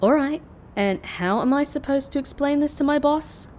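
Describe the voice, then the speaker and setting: A woman's voice: high-pitched, normal speed, a little mellow, slight background noise, close to an everyday voice. An actress playing a reluctant, sardonic female ex-secret agent with a dry, grudging manner in an audio drama. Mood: resigned. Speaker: voice actor. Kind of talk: anime-style audio drama acting.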